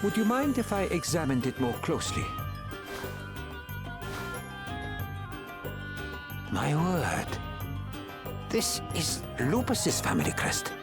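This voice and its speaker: An actor playing a courteous, learned male adviser in a video game, husky-voiced, speaking in a formal, measured way. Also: fairly high pitch, wildly swooping pitch, heavily acted. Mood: pondering. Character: learned, courteous male scholar and royal adviser held prisoner. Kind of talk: video game cutscene acting. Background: background music.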